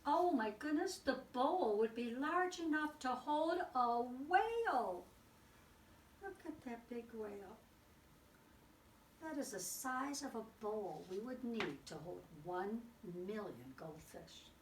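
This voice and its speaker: A woman reading a picture book aloud to children like a teacher, expressive and exclaiming, with dramatic pauses and little asides. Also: fairly high pitch. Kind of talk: read-aloud storytelling for children. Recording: roomy, distant mic with faint noise.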